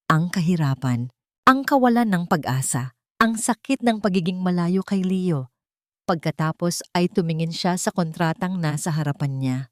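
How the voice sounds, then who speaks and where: A woman's voice: deep voice, warm tone, no room sound, studio quality. A woman reading a scripted dramatic story as its narrator.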